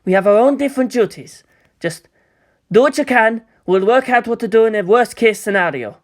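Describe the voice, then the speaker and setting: A woman's voice: on the high side, animated, moderate pace, pitched above the performer's own voice, lightly acted. Woman voicing a firm, placating old man. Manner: placating, authoritative. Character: calm, firm elderly male deputy commander. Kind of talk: dramatic audiobook character acting.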